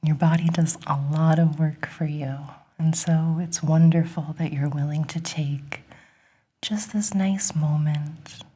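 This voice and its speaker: Woman, hushed breathy whisper, low and soft-edged, guided meditation, gentle, soothing. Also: steady tone, unhurried. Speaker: guided relaxation host.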